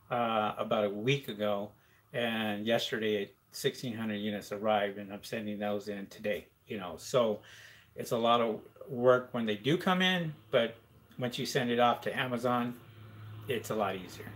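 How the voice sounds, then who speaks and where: A man's voice: medium pitch, a bit bright. A man hosting a live question-and-answer stream, chatting casually with many fillers and reading viewer comments aloud.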